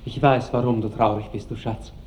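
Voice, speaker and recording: A man's voice: mid-range voice, steady tone, fairly quick, a little mellow, natural voice. An actor playing an ardent, tender seventeen-year-old nobleman pleading with his lover in a classic radio drama. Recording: dull, faintly hissy old radio recording.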